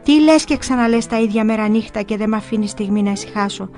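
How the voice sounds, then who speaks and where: A woman's voice: mid-range voice, a little mellow, faint music behind, natural voice. A woman voicing a tormented, blasphemous demon that scolds and nags in exclamations, in a dramatized story. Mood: exasperated, scolding. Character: shrieking demon possessing a monk, female-voiced, blasphemous, tormented, scolding.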